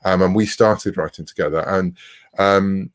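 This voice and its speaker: A man's voice: deep voice, fairly even intonation, moderate pace. A man in his forties speaking conversationally as a writer being interviewed. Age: 40s. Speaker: interviewed writer of comics and novels.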